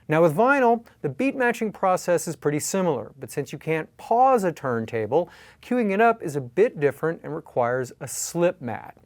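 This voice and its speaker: A man calmly explaining step-by-step techniques in a tutorial, as an instructor. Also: on the high side. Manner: calm, explanatory. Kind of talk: tutorial narration. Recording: faint music behind.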